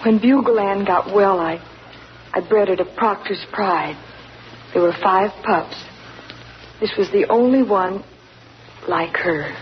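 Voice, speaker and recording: An actress playing an earnest, remorseful young country woman who speaks softly and stammers. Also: medium pitch, slow pace, long pauses, natural voice. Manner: hesitant, stammering, soft-spoken. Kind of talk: 1940s radio acting. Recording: dull 1940s radio broadcast, faint hiss.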